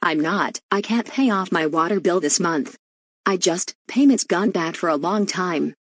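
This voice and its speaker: A man's voice: high voice, measured pace, a bit bright, no echo, voice pushed up, full character voice. A man voicing a worried woman who can't pay her water bill, pleading and flustered in amateur voice acting.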